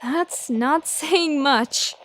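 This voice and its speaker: Woman playing very high-pitched pert radio co-host. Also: very slow, a bit bright, full character voice. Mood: dryly teasing. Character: young female radio co-host, pert and inquisitive. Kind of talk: radio drama acting.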